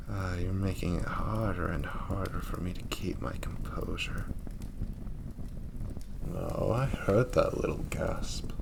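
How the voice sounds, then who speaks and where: Man voicing a very deep, seductive demon prince. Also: vocal fry, full character voice. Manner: silky, teasing, theatrical, unhurried. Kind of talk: intimate flirtatious roleplay audio drama. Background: crackling fire ambience.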